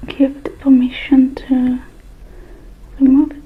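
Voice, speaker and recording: Slow, whispering woman in an ASMR roleplay. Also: high voice, completely flat delivery, breathy edge, phone-quality sound. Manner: soft, mostly whispered, unhurried murmuring with pauses. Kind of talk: whispered ASMR roleplay as a technician repairing a robot.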